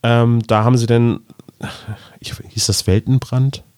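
A man's voice: medium pitch, level tone, measured pace, darkish tone, clear, resonant tone, dry recording. A man co-hosting a podcast, explaining things in a rambling, casual way. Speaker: podcast co-host.

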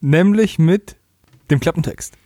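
Slightly high-voiced man, casual podcast banter. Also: unhurried, dark tone, no room sound, studio quality. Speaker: podcast co-host. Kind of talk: casual, joking chat.

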